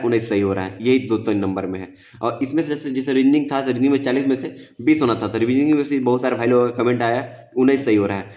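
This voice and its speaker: A man's voice: medium pitch, darkish tone. A man giving an informal explainer, reassuring and repetitive as he addresses viewers directly. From India. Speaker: exam-prep video presenter.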